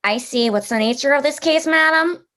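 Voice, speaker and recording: An actress playing a brisk, formal hospital receptionist in a radio drama, politely officious and clipped. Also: female voice, sits high, fairly bright tone. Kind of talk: 1940s radio drama acting.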